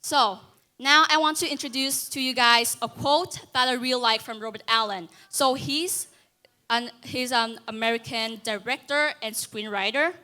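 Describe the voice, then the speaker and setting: Teenage girl, Vietnamese accent, earnest student speaker, bright, slightly high voice. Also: moderate pace. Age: teens. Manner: earnest, with restarts and asides to the audience. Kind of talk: school stage talk. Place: school auditorium stage.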